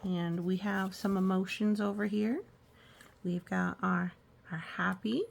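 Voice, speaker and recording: A woman reading aloud for children in a storytime style, gently explaining with casual asides. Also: medium pitch, steady tone, takes it slowly, gravelly. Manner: gentle explaining to young listeners. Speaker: storytime reader.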